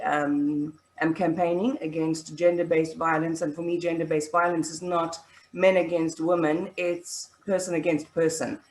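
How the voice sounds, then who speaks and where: A woman's voice: low-pitched, roomy sound, low-quality recording. A woman from South Africa with a South African accent, speaking sincerely with frequent hesitations on a panel. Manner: sincere, hesitant with many ums. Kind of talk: video panel introduction.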